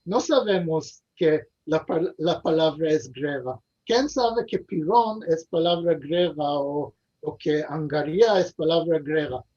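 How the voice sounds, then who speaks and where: A man being interviewed over a video call, speaking haltingly with many pauses, fillers and repeated words. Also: on the high side, a little mellow. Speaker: interview guest. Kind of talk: hesitant conversational interview. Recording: dull lo-fi video call audio.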